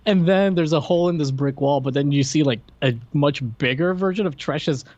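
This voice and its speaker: A man's voice: on the high side, fairly quick, darkish tone, no echo. A man co-hosting a podcast, casually recapping a movie in a conversational retelling style. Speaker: podcast co-host. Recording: dry, slightly dull audio.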